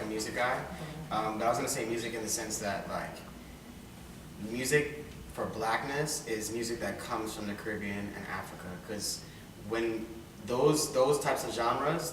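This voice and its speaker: Young male student panelist, emphatic casual talk, distant mic with background noise. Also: on the low side. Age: young adult. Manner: animated, laughing, emphatic. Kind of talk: casual group discussion. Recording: echoey room, mic far off, background noise.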